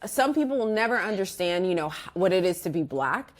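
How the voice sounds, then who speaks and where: Reflective woman guest, low-pitched and easygoing. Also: lively intonation, fairly quick. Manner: reflective, speaks in loose restarts with many "like" and "you know". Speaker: guest, organizational psychologist. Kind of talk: casual interview conversation.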